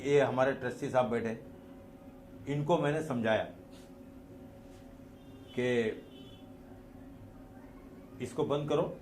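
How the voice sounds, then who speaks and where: Male religious speaker, Mumbai Hindi accent, slightly high pitch; emphatic off-the-cuff storytelling with rhetorical questions, some background noise. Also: a little mellow, roomy sound. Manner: emphatic, repeats phrases and asks rhetorical questions. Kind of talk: extemporaneous religious talk with personal storytelling.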